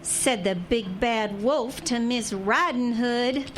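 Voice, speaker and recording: A woman's voice: on the high side, noisy background. A woman playing a sassy, reluctant young Texas bride with a folksy Southern accent in a radio drama. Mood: protesting. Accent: Southern US, Texan. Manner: folksy, exclamatory, drawn-out delivery. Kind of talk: audio drama acting.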